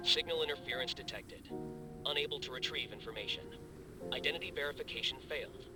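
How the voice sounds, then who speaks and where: A man's voice: mid-range voice, fast talker, over music, natural voice, rough recording. A man voicing an impersonal computer in a hunter's watch, giving clipped, automated error announcements in a video game.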